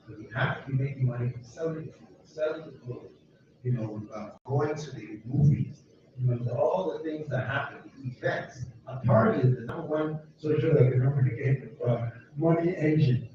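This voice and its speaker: A man's voice: medium pitch, moderate pace, noisy background. A man leading a group through a neighborhood, talking in an emphatic, rambling way and repeating himself. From United States. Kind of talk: informal talk to a walking group. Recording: distant, echoey, muffled with loud ambience.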